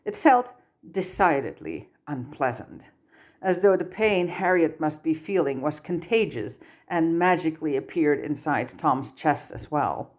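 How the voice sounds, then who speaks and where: A woman's voice: sits low, animated, measured pace. A woman reading a story aloud as scripted audiobook-style narration. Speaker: amateur fan fiction narrator.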